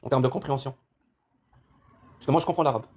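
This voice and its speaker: A man's voice: mid-range voice, quick pace, a little mellow, husky. A man whose first language is Arabic runs a live online debate. He talks at a breakneck pace, pressing his guest with insistent, step-by-step questions. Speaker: debate host.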